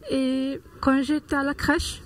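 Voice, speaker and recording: A woman's voice: high voice, level tone, slight background noise. A woman from Morocco who speaks with hesitations, telling a personal story in a street interview. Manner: halting, searching for words.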